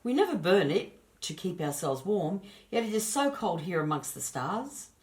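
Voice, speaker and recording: A woman's voice: fairly low pitch, sing-song, moderate pace, darkish tone. Woman voicing Punjal, an eager, questioning male spirit. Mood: curious complaint. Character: Punjal, curious, worried male spirit helper among the stars.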